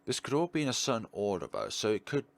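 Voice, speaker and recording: A man giving casual playthrough commentary as a gaming video host, thinking aloud with questions and trailing pauses. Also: mid-range voice, lively intonation. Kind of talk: casual playthrough commentary, partly reading on-screen text aloud.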